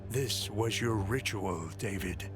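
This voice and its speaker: A solemn, centuries-old keeper of memories in a video game. He speaks slowly and deliberately in a mid-range voice, with music underneath. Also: on the low side. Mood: solemn, grave. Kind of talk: video game cutscene acting. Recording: music behind.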